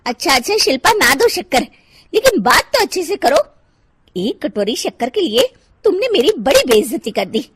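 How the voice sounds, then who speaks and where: A woman's voice: high-pitched, sing-song, moderate pace, noisy background. A woman voicing a polite but easily offended neighbour woman in a cartoon story, pleading and indignant.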